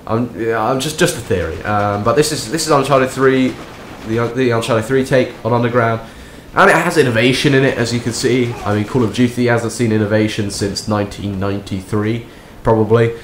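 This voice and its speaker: A young man, croaky and nasal from a cold, rambling through a sarcastic gameplay commentary. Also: mid-range voice, a little fast, hardly any pauses, slight background noise. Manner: rambling, sarcastic, many restarts. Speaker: gaming commentator. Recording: echoey room with faint game sound.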